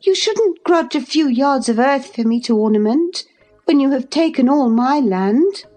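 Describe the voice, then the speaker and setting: A woman voicing a reckless, insolent young lady with a pert, high-strung manner in an audiobook. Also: expressive, a little slow, warm tone, soft background music. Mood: defiant. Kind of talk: dramatized audiobook reading.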